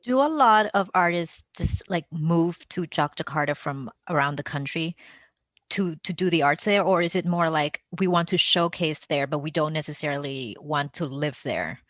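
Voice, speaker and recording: Female podcast interviewer, slightly low pitch, casual and conversational, very dry recording. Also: normal speed, fairly bright tone, no echo.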